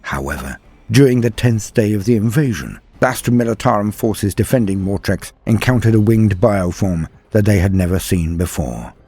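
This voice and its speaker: A low-voiced man narrates lore as a show host, with a husky grain and a very animated delivery. His scripted address is grand, formal and theatrical. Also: normal speed. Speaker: host of a fantasy lore channel.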